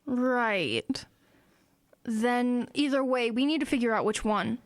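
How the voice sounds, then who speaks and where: A woman's voice: takes it slowly, no room sound. A woman playing an earnest, practical small-town teenage girl in an audio drama, plain-spoken and matter-of-fact. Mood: puzzled, urgent. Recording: clear outdoor ambience and vehicle rumble.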